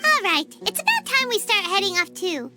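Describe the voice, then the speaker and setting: A woman voicing a tiny, cheerful, boastful childlike fairy companion in a video game, squeaky and exclamatory. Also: big pitch swings, brisk, loud music behind the voice. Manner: refers to self in third person, exclamatory. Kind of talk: video game cartoon-style voice acting.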